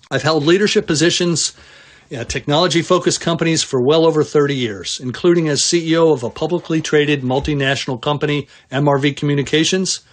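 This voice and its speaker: A middle-aged or older man, a chief executive, reading prepared earnings call remarks in a formal, measured, businesslike way. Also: a little high.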